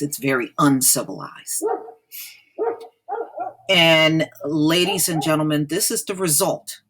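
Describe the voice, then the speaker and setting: Slow, blunt American woman commentator. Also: low voice. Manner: emphatic, repeating phrases for effect; blunt, disapproving. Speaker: online commentator. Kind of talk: informal opinion commentary.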